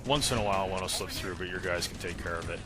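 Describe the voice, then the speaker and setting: Low-voiced man giving casual game walkthrough commentary. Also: quick pace. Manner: explanatory, step-by-step. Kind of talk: casual instructional gameplay commentary. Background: game gunfire and music.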